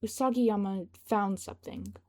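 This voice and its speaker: Woman as male assistant, practical, patient, matter-of-fact, mellow, story reading. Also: mid-range voice, moderate pace, close to an everyday voice. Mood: hesitant. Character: patient, practical male aide to a young boss.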